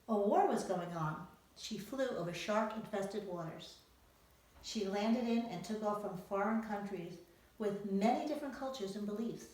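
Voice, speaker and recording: A woman author giving an emphatic storytelling talk to young readers, posing rhetorical questions. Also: mid-range voice. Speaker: author presenting own book to young readers. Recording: very echoey room, distant from microphone.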